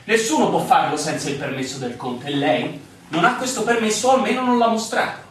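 Un attore che interpreta in un radiodramma un funzionario del castello, severo, formale, zelante e insistente. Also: male voice, high-pitched, expressive, a little fast, fairly bright tone. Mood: officious. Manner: officious, formal. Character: officious male castle official, insistent and stern. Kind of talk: theatrical radio drama acting. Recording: very echoey, far from mic, faint noise.

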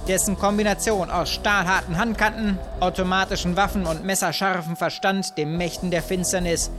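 A man acts as a bombastic horror radio play narrator. His voice is high for a man, solemn and grandiose, over clear music. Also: steady tone, normal speed, natural voice. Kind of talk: scripted dramatic narration.

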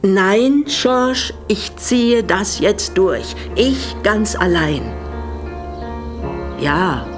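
A woman's voice: mid-range voice, animated, drawn-out words, music in the background. An actress playing a resolute, bitter older woman in a German radio drama, speaking in a composed, pointed way. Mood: nervous, determined. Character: resolute, bitter older widow receiving guests.

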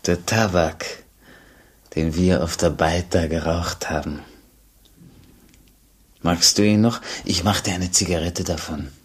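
An actor in a German radio play, a man playing a plain-spoken, warm-hearted aging village man who was once a robber, with a husky voice. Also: low-pitched, fairly even intonation, slow, long pauses, exaggerated voice. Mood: fond, nostalgic.

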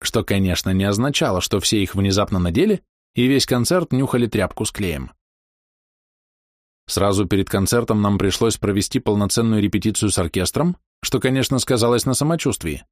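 A man with a fairly low voice tells his diary as a touring musician. His delivery is wry and full of slang. The recording is dry, with hardly any room in it. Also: expressive, brisk, no room sound. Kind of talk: first-person diary narration.

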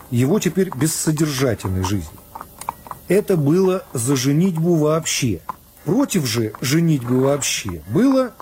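A man reading literary narration for a radio production in a measured, expressive way. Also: fairly high pitch, noisy background.

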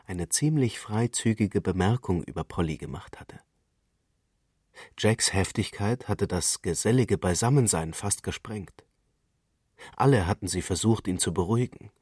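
A man narrating literary fiction for radio in a measured, deliberate storytelling manner. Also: sits low, a little mellow, breathy edge, no room sound, clean studio sound.

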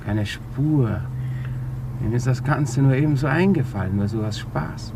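A resigned, self-justifying burglar confesses haltingly in a medium-pitched voice. It is 1950s radio crime drama, with clear noise on the old recording. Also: male voice. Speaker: actor in a radio play.

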